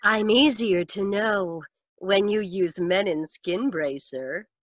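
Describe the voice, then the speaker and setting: Actress as a slow, sultry woman. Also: medium pitch, dry recording. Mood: seductive. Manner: slow, intimate, seductive. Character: sultry, flirtatious woman in a radio ad. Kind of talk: 1940s radio advertisement acting.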